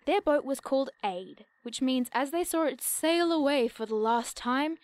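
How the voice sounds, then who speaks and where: Curious young woman, high voice, nerdy, chirpy, precise, persuasive, audio drama banter. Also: animated, normal speed, no echo. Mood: eager, chatty. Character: eager, curious young woman, nerdy cryptid-chasing road-tripper.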